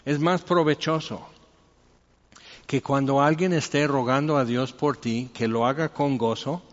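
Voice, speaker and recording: A man's voice: mid-range voice, steady tone, measured pace. A man with a Mexican accent giving an informal, conversational sermon as a pastor, rambling with joking asides. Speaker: pastor preaching. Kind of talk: informal expository sermon with anecdotes. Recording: echoey hall, dull muffled sound.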